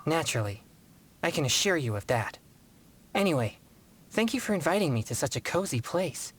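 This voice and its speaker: A man's voice: on the high side, big pitch swings, normal speed, fairly bright tone, breathy edge, exaggerated voice. A man voicing a serious, polite teenage boy exorcist in a video game, speaking in a formal, earnest and courteous manner. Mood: polite, composed. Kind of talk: scripted video game voice acting.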